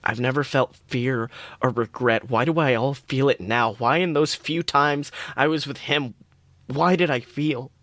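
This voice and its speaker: A man's voice: mid-range voice, unhurried, a bit bright, no room sound. A man voicing a grieving, bewildered young man with a pleading, childlike manner in a story read aloud. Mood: anguished, bewildered. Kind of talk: fan fiction read aloud.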